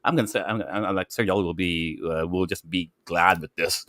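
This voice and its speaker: A man with a Filipino accent chatting casually as a gaming show panelist, excitable and rambling, often repeating himself. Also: sits low, brisk, no echo, studio quality. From Philippines. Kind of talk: casual chat.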